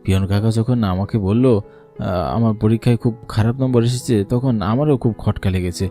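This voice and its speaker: Man voicing a creaky-voiced, scheming village schoolboy. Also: on the low side, fairly quick, mellow, close to an everyday voice. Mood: calm, scheming. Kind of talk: dubbed horror cartoon dialogue. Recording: music under the voice.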